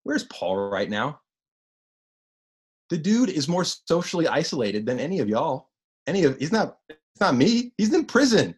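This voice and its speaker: A man with a deep voice preaching a sermon as pastor, animated and repeating phrases for emphasis. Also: normal speed, long pauses, breathy edge.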